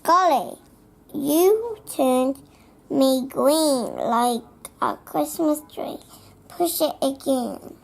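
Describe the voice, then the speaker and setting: A child reading a children's picture book aloud, halting word by word and sometimes repeating phrases. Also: high voice, animated, vocal fry, darkish tone, slight background noise. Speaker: young storybook reader.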